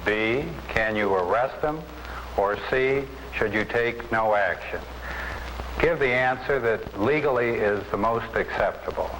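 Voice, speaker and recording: Low-voiced American instructor, noisy old film. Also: male voice, fairly bright tone. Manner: deliberate, formal, didactic. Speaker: classroom instructor. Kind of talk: classroom lecture.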